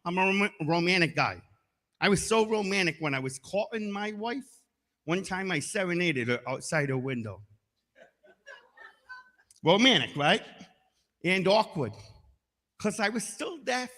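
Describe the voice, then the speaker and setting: A middle-aged man doing a stand-up comedy set with punchy delivery and deadpan asides, now and then breaking into loud shouted singing. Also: sits high, a little slow, long pauses, long reverb tail. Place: comedy stage.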